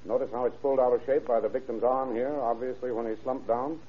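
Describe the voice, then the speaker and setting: An actor playing a shrewd, skeptical detective lieutenant in a clipped, hard-boiled old radio drama style. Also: male voice, mid-range voice, steady tone, quick pace, highs cut off, a little hiss or hum, lightly acted. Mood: suspicious, brisk. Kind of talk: vintage radio crime drama acting.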